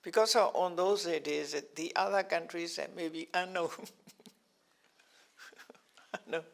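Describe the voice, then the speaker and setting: Slow gravelly older man teaching. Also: high-pitched, steady tone. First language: not English. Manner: halting, repeats terms, chuckles often. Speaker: Buddhist teacher. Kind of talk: explaining scriptural terms to a class.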